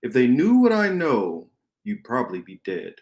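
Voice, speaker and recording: A man's voice: mid-range voice, wildly swooping pitch, moderate pace, a little mellow. A man reading a grave, cryptic rebel leader in a radio play, solemn and emphatic. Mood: grave, urgent.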